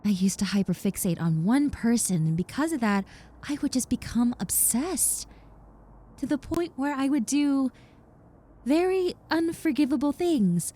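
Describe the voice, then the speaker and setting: A woman's voice: high-pitched, lively intonation, unhurried, mellow. A woman playing a nervous, apologetic young reformed yandere in a roleplay audio drama, speaking in a hesitant, stammering, earnest and reassuring way. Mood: sheepish, confessing. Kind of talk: roleplay audio drama, conversational monologue to the listener.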